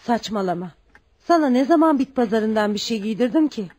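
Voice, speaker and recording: A woman's voice: on the high side, expressive, brisk, darkish tone, a little hiss or hum, natural voice. An actress playing a poor, proud widowed mother in a Turkish radio drama, polite and devoted. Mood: reassuring. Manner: polite, deferential, tender. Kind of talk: Turkish radio theatre acting.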